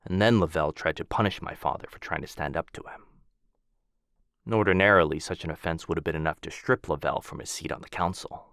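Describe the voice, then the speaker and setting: A man playing a gentle, earnest vampire aristocrat in an intimate ASMR roleplay, speaking softly and formally with tender, hushed dips. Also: low voice, quick pace, creaky, no echo, clean studio sound. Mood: bitter, restrained.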